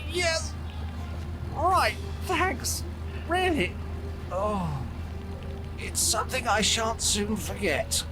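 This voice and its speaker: A man's voice: sits high, expressive, extremely slow, long pauses, over music, exaggerated voice, poor audio. An actor playing a fussy, polite young male rat with a posh British accent in a video game cutscene. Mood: flustered thanks. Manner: polite, prim, theatrical.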